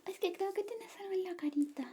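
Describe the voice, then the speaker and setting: A woman playing a jealous, pouting best friend in an ASMR roleplay, speaking softly and often in whispers. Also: very high voice, fairly quick, a little hiss or hum. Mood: possessive, teasing.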